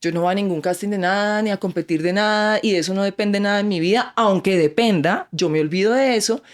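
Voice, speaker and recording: A Colombian woman who talks at a brisk clip, her words tumbling out quickly. She chats casually as an interview guest, full of animation, and slips into quoted voices as she tells her stories. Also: mid-range voice. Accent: Colombian Spanish. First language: Spanish. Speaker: actress, guest.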